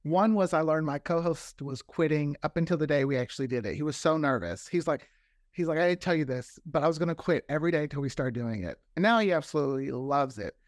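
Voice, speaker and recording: A man with a slightly higher-pitched voice talks freely as a guest in a relaxed interview. He is chatty and likes to repeat words to stress them. The recording is very dry, with no room sound. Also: fast talker, no echo. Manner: talkative, repeats words for emphasis. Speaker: interview guest, fiction podcast creator. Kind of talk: casual interview chat.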